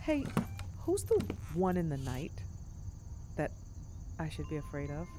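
An actress playing an anxious, sardonic gig worker in a naturalistic audio drama, with a hesitant, wry, expressive way of talking. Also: female voice, low voice, measured pace, background noise. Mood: rattled, wry. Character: anxious, sardonic gig-worker woman, freshly suspended from her job.

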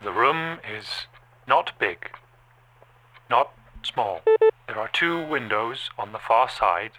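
An actor playing a cold, detached security camera that reports what it sees in a halting, deliberate monotone for an audio drama. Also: male voice, mid-range voice. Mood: detached, watchful. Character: male security camera, cold detached slow-thinking machine observer. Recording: clearly noisy background.

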